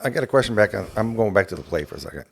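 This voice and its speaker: A man with a somewhat low voice co-hosts a podcast. He speaks casually and conversationally, as someone chatting with a guest. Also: expressive, racing, vocal fry, breathy edge. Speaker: podcast co-host. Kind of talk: casual chat.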